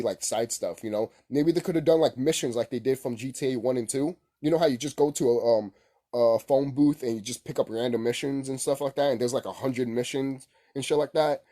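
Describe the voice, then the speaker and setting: A man's voice: mid-range voice, warm tone. A man giving a casual, unscripted opinion rant as a gaming commentator, blunt and repetitive with heavy swearing.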